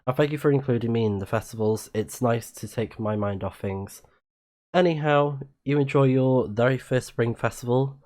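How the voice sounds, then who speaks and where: A man voicing a pompous, jovial, theatrical gentleman as he reads a florid letter from a video game. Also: medium pitch, natural voice. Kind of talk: reading a game character's letter aloud.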